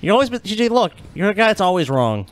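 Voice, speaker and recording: Brash, fast-talking man, foul-mouthed. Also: high voice, very animated. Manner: brash, heavy swearing, boastful. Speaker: podcast co-host. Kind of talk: heated casual banter.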